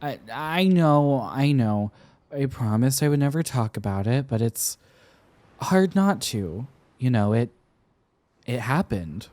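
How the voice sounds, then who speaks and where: A young man playing an affectionate, teasing sweetheart smitten with an old friend, speaking tenderly and haltingly in an intimate roleplay ASMR style. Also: mid-range voice, animated, a little mellow, no echo. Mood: earnest. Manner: tender, playful, halting with pauses and repeats.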